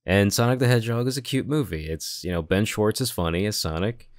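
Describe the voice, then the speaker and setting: A man with a lowish voice shares opinions as a podcast co-host. He sounds informal and easygoing in casual conversation. The sound is dry, with no room echo. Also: a little fast.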